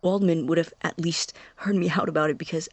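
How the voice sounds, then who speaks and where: An intense young science student played by a woman in an audio drama monologue, convinced of being right. The voice is lowish and husky, breaking off and restarting anxiously. It is recorded close and dry. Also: fairly even intonation, dry recording. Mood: fervent, earnest. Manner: halting, self-interrupting, intense.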